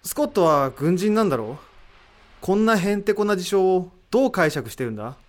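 A man playing a thoughtful, analytical character in a voice drama, deliberate and explanatory, posing rhetorical questions. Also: high-pitched, lively intonation. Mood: pondering. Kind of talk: amateur voice drama acting.

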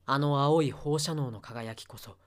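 A man's voice: mid-range voice, measured pace. A man reading scripted story narration for an audio drama.

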